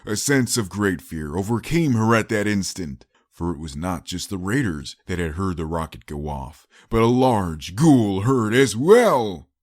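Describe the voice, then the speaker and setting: Casting: male audiobook narrator, low register, dry studio-like sound, dramatic scripted storytelling. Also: lively intonation, a little slow, few pauses, no echo.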